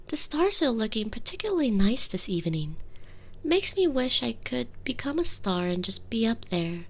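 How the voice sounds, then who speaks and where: Casting: actress as lovelorn princess, young, higher-pitched, intimate and tender, playfully wry, ASMR roleplay. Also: female voice. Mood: tender, wistful. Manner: soft, tender, playful, breaking into whispers.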